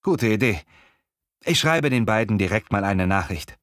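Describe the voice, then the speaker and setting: A man playing a friendly young apprentice in a scripted language-learning dialogue, speaking clearly and in a measured way. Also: fairly low pitch, expressive, a bit bright, no echo, studio quality. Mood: agreeable, practical. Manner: clear, measured, textbook delivery.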